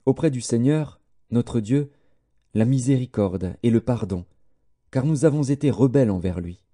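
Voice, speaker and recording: Very dark-voiced male scripture narrator. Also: medium pitch, animated, normal speed. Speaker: audiobook narrator.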